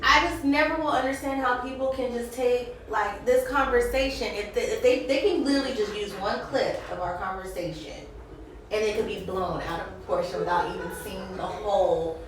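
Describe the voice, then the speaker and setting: High-voiced woman in a very echoey room. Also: moderate pace. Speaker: interviewer, show host. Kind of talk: casual interview chat. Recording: echoey room, distant microphone.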